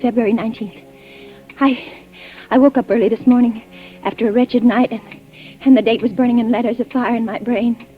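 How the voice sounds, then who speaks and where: An actress as a high-voiced, terrified captive woman. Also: fairly even intonation, a little fast, faint music behind, close to an everyday voice. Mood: brooding dread. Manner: stammering, breathless repetitions, overwrought. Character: terrified, fragile, pleading woman held captive, keeping a diary. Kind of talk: 1940s radio drama acting. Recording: dull, lo-fi old radio recording.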